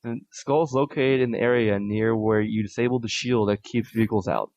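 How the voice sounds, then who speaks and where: A man hosting a podcast, reading listener submissions aloud in a steady, plain way with small restarts. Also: lowish voice, brisk, dry recording. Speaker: podcast host. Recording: dull lo-fi audio.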